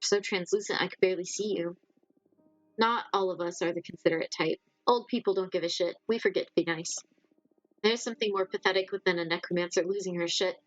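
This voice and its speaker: A woman voicing an old necromancer witch, sardonic and gruff but secretly kind, blunt and theatrical in a dramatic story. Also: fairly low pitch, brisk, no echo, faint music behind, close to an everyday voice, poor audio. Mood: gruff, apologetic.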